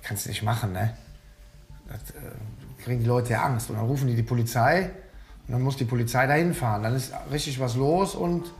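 A man's voice: mid-range voice, a little fast, roomy sound, faint music behind, natural voice. A man playing a patient, fatherly middle-aged desk officer in a TV police drama, speaking in a small office. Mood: gently admonishing.

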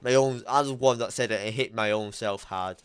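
A man's voice: on the low side, brisk, smooth, dry recording. A young man talking casually on a call from his home gaming room, blunt and emphatic, swearing now and then. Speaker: YouTuber.

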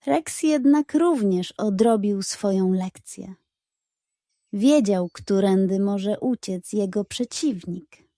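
Female storyteller for children's detective tales: unhurried, soft-toned, suspenseful, recorded dry. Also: medium pitch, no echo.

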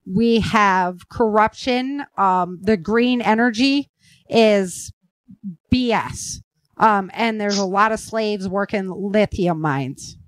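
Casting: American female talk-podcast host, medium pitch, opinionated and emphatic, conversational delivery, dry sound. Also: slow pace, no room sound. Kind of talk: casual talk show conversation.

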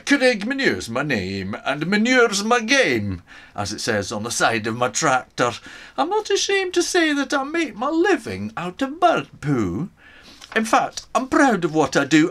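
A man acts out a cranky, proud Scottish farmer from a remote island. He tells his storybook tale with folksy emphasis. The exaggerated Scottish accent rises and falls in big, lively swoops. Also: a little high, voice pushed up, exaggerated voice. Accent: Scottish, put on and exaggerated. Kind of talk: storybook read aloud in character.

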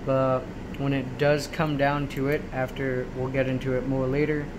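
A man giving a casual, rambling software tutorial, often checking in as he goes, recorded at home on a handheld camera. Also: mid-range voice, normal speed. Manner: rambling, checks in with frequent "right?". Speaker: tutorial host. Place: indoors in a room at home. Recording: noisy amateur home recording. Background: clear steady background noise.

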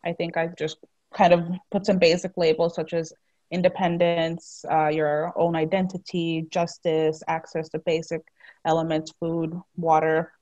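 A woman from Finland, a counselor at a women's shelter, speaking softly as a panelist on a video call. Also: sits low, flat delivery, a little slow, dry recording, muffled recording.

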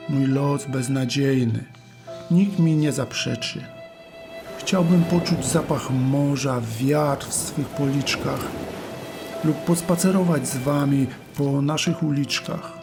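A man playing a wistful, resigned paralysed man in a rhymed Bible audio drama for children, with measured delivery. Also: mid-range voice, steady tone, music in the background.

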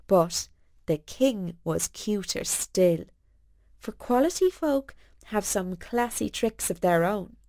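Slow-paced woman telling a children's story. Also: medium pitch, no room sound, clean studio sound. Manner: folksy, expressive storytelling. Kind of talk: scripted bedtime story narration for children.

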